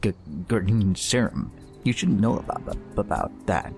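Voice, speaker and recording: A man playing a nervous, guilt-ridden scientist in a comic-book audio drama, halting and stammering as he talks. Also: fairly low pitch, sing-song, creaky, full character voice. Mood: anguished, guarded. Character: nervous, guilt-ridden male scientist, secretly from another world. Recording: loud music behind the voice. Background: loud music.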